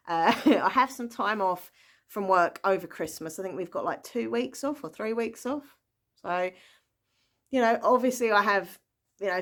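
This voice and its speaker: A woman's voice: medium pitch, a bit bright. A woman vlogs about her reading pile in an easygoing, rambling way. Her voice sits in an ordinary middle range, and she keeps up an eager, chatty flow dotted with ums. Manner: rambling, enthusiastic, full of fillers and small laughs. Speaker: book vlogger. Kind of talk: casual chatty book talk.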